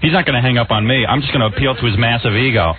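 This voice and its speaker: A man's voice: medium pitch, very fast, a crowd in the background. A male radio host bantering in a smug, mocking way. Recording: tinny phone-like radio audio.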